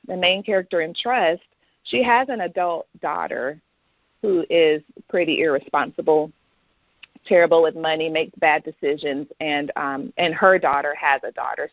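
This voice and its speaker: A woman's voice: deep voice, measured pace, no echo, lo-fi sound. A woman speaking as a guest author in a casual interview, explaining things in a conversational way.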